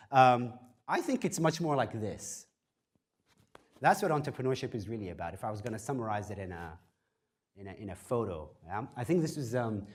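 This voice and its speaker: Fast-talking man giving casual lecture. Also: medium pitch. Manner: fast-talking, self-deprecating, frequent fillers. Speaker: guest speaker at startup workshop. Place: event space, in front of audience.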